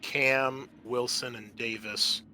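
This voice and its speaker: A man's voice: medium pitch, fairly even intonation, slow, bright, forceful tone, slight background noise. A man refereeing a tabletop game casually as game master, in a hesitant, apologetic way.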